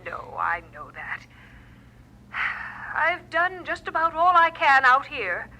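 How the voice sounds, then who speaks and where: A very high, intensely bright voice comes down a telephone: a devout, determined woman fundraiser. She is emphatic and fretful but resolute, in radio drama style. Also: very animated, takes it slowly, heavily acted, low-quality recording. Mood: worried, insistent.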